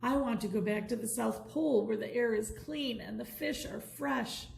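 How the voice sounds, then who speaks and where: A woman's voice: fairly high pitch, moderate pace, vocal fry, darkish tone, roomy sound, slight background noise. Woman as a grumbling, suspicious penguin. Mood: homesick grumbling. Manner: grumbling, complaining. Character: grumpy, suspicious, homesick penguin musician.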